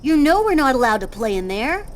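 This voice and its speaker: A woman's voice: high voice, sing-song, fairly quick. A woman voicing a bossy but caring child in a video game, blunt in manner, with noise behind. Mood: exasperated, urging. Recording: clear ambient vehicle noise behind.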